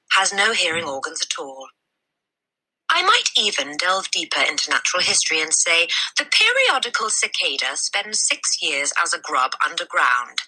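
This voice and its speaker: A woman reading a children's novel aloud as an expressive narrator, leaning on key words with deliberate emphasis. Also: low-pitched, husky, rough recording. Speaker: storybook reader.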